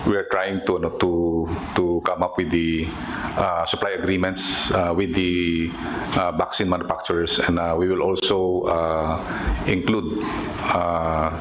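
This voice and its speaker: A man with a deep voice and a Filipino accent briefs a superior as a government official. His delivery is hesitant and repetitive, in a fairly flat tone. There is clear noise behind him. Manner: halting, hesitant, repetitive phrasing.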